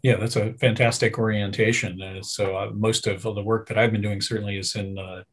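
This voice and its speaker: A man's voice: fairly low pitch, level tone, fairly quick, fairly bright tone, slight background noise. A middle-aged man hosting an interview over a video call, speaking hesitantly with frequent fillers. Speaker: interview host. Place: room at home. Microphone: video call webcam. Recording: dull lo-fi video call audio.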